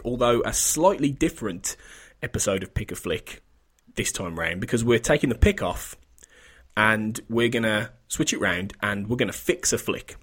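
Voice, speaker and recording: A man's voice: mid-range voice, breathy edge. A man hosting a film podcast, chatting casually with a slightly sniffly voice from an oncoming cold and often restarting his sentences. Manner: rambling, self-correcting, chatty. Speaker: podcast host.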